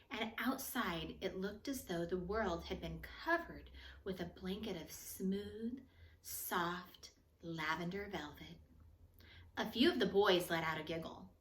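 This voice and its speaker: A woman reading a children's picture book aloud in an expressive, measured storytelling manner, with phrases that trail off softer. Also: on the low side. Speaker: storybook reader. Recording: echoey room.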